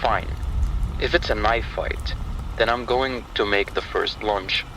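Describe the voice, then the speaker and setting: Tense, bright-edged low male narrator. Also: slow, rough recording. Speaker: horror story narrator. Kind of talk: scripted first-person horror monologue. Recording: faint rain and ambient noise. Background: rain and thunder sounds.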